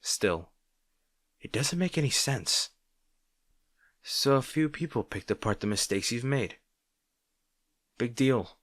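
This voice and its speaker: A man playing a snarky supervillain rival who secretly cares, in a dramatic roleplay monologue, teasing and sardonic, halting when flustered. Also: medium pitch, expressive, a bit bright, dry recording. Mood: softer, concerned. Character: snarky male supervillain rival, secretly worried about his hero.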